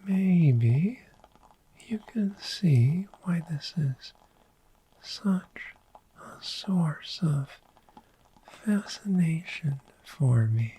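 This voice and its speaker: A woman voicing a friendly, gentle, sleep-loving dragon in a soft-spoken ASMR roleplay, whispering soothingly and lingering over repeated words. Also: sits low, animated, drawn-out words, long pauses, exaggerated voice, rough recording. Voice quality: breathy whisper.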